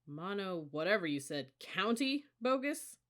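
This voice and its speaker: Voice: mid-range voice, normal speed, a bit bright, natural voice. An audiobook narrator voicing a bullying, sarcastic young male cadet squad leader who snaps out mocking commands. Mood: mocking, snarling. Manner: snarling, smirking, clipped commands.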